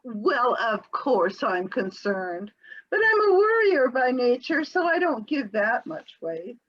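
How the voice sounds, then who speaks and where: A woman's voice: a little high, sing-song, takes it slowly, dull audio, heavily acted. A woman reading the part of an evasive, chattery housewife in a comic scene, with a quavering, giggly delivery over a home video call. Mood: airy. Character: flighty, evasive middle-aged housewife, breezily unconcerned. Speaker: reader in an amateur readers theater performance. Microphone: home video call mic.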